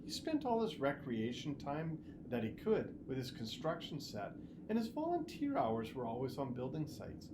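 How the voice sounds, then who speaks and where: A storybook reader playing a kindly, reassuring father who chats gently about his own youth. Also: mid-range voice, sing-song, moderate pace, background noise, natural voice.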